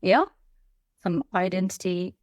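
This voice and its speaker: A woman's voice: lowish voice, steady tone, unhurried, a little mellow, no echo, clean studio sound. A Japanese woman whose first language is Japanese, chatting as a podcast guest, hesitant and restarting her phrases with light laughs. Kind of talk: casual conversation. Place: Melbourne, Australia.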